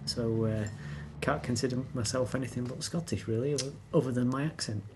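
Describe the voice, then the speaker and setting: A laughing, talkative man in a relaxed conversation. He speaks at a middle pitch. A faint hiss sits behind his voice. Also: brisk. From England. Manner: laughs often, chatty. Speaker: guest. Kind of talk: casual chat.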